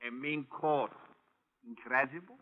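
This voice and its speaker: An actor playing a huffy, excitable foreign fur-store man with broken grammar and a dramatic manner in old radio drama. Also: medium pitch, a little slow, close to an everyday voice. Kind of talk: 1950s radio comic character acting. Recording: muffled old radio broadcast.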